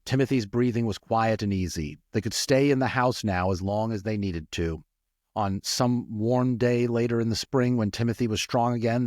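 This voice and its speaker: A man's voice: lowish voice, no echo, studio quality. A man reading a storybook aloud as narrator, slipping into chatty, informal asides. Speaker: storyteller and audiobook reader.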